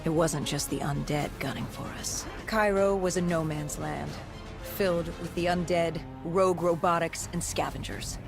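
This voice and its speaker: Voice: mid-range voice, steady tone, moderate pace, vocal fry, music in the background, lightly acted. An actor playing a war-weary soldier in a video game cutscene, recounting battle memories in a grim, clipped, dramatic way. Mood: tense, determined.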